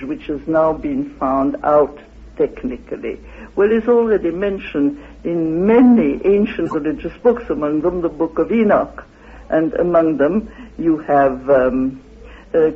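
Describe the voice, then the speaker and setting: A man being interviewed, explaining his ideas in a halting, deliberate manner, asking and answering his own questions and reciting quotations. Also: sits high, husky. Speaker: interviewee expounding his theory. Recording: phone-like, muffled old radio recording.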